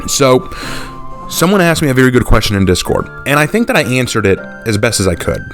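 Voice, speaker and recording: A young man, talking casually and unscripted to his channel's viewers, relaxed and thinking aloud with fillers. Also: medium pitch, brisk, faint music behind. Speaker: YouTube channel host.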